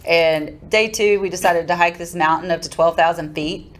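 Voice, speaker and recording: A woman's voice: fairly low pitch, steady tone, moderate pace. A middle-aged woman hosting a podcast, chatting enthusiastically with her guest. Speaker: podcast host. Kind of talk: casual interview chat. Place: home room with window, on video call. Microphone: studio mic on stand.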